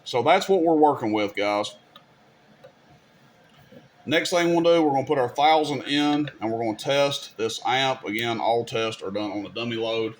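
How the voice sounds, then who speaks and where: A man's voice: medium pitch, normal speed. A man hosting a casual hands-on demonstration, speaking in an informal, folksy and opinionated way. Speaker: host demonstrating radio gear. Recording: clear background noise, room ambience.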